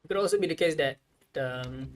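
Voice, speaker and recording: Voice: medium pitch, brisk. A video game streamer giving casual live commentary, musing aloud in a rambling way.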